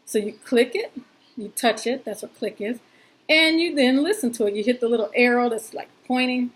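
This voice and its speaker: A woman's voice: a little high, moderate pace. A woman presenting an informal step-by-step tutorial in a chatty, folksy and reassuring way, using plain everyday words.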